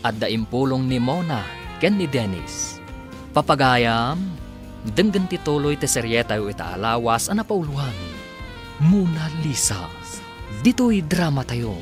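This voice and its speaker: A man announcing and narrating a radio serial in a deliberate, theatrical scripted style, with music behind him. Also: mid-range voice.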